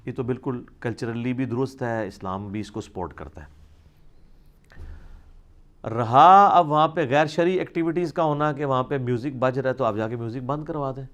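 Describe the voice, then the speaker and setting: A man answers religious questions in an informal, explanatory lecture, reasoning conversationally as a scholar. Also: mid-range voice. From South Asia.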